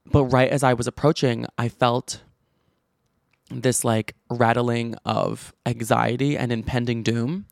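Gravelly man with a stutter. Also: mid-range voice, steady tone, a little slow, no room sound, clean studio sound. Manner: chatty, gossipy storytelling with many likes and restarts. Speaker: podcast host. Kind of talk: casual chat.

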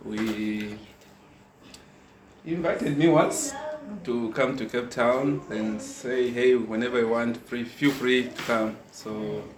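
A man's voice: medium pitch, slow pace, crowd noise. A man from Zambia with a Zambian accent, speaking informally and politely as a visiting guest. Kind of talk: informal spoken testimony. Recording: echoey room with people around.

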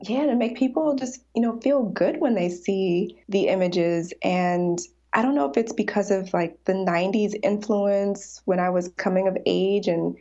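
A woman's voice: medium pitch, moderate pace, few pauses, dark tone. A woman in her forties, an artist talking reflectively in a casual interview, laughing often. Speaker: artist guest. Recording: dull lo-fi sound.

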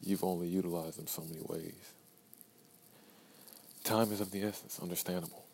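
A man's voice: low voice, steady tone, moderate pace, vocal fry, a little mellow, a little hiss or hum. A man in his early twenties talking to himself in a blunt, profane podcast monologue, repeating phrases for emphasis. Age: 20s. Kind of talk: self-talk pep monologue.

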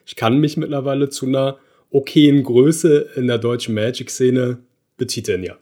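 A man in his thirties from Berlin, a podcast guest telling stories in a relaxed way, with fillers and laughs. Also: mid-range voice, moderate pace. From Berlin, Germany. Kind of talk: casual conversation.

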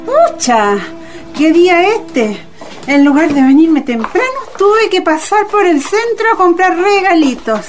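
A woman's voice: extremely high pitch, sing-song, a little slow, faint music behind, full character voice. A woman playing a doting, affectionate mother in a radio drama, with a Chilean accent and sighing, motherly endearments. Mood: bustling, exclaiming. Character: tender, doting mother of a blind little girl.

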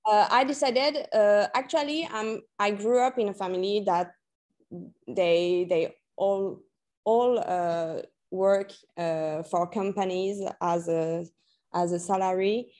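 A woman's voice: medium pitch, darkish tone. A woman in her late twenties with a French accent, a native French speaker, answering interview questions conversationally and searching for her words. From France and New Caledonia. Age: late 20s. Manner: hesitant, searching for words in a second language. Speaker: podcast guest, freelance journalist and copywriter.

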